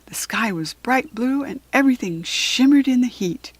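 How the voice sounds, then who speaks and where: A woman's voice: fairly high pitch, expressive, normal speed, no room sound. A woman with an American accent reading a children's storybook aloud as a narrator.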